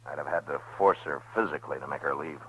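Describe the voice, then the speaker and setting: A stern American marshal of the old West, speaking very low. He is terse and unbending, with plain authority. The sound is dry and dull, like an old radio western. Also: quick pace, pushed and bright, breathy edge, no echo, full character voice. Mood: stern, unyielding. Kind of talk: 1950s radio western acting.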